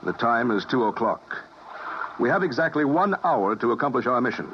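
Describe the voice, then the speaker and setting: A man's voice: mid-range voice, sing-song, normal speed, highs cut off, close to an everyday voice. A man playing a precise, instructive physicist operating a time machine, in a 1950s radio drama on a hissy recording.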